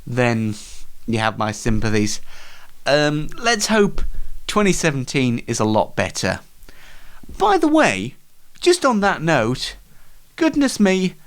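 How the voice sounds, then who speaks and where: Animated man hosting comedy show. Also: on the high side. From United Kingdom. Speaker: show host. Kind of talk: light comedic monologue.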